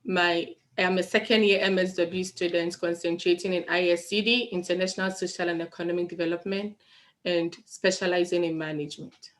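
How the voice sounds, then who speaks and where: A young woman from Ghana, a second-year graduate student, introducing herself briskly on a video panel. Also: on the low side, fairly even intonation, a bit bright. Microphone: video call.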